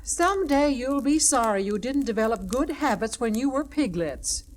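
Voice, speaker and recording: A woman's voice: on the high side, animated, moderate pace, lightly acted. An actress playing a fussing, loving, admonishing mother pig on a 1960s children's storybook record. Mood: cautioning.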